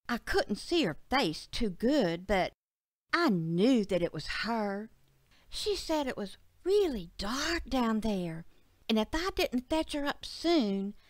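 A woman's voice: a little high, dry recording. A woman voicing an earnest, imaginative little country girl who rambles breathlessly and pleads with her father in an audio story. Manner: childlike, breathless rambling with "like" and "see".

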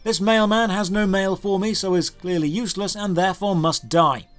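A man delivering scripted comedic review narration in a sardonic, deadpan manner into a close microphone. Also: sits high, normal speed. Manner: sardonic, dry deadpan humour. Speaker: video game reviewer, host. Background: clear background music.

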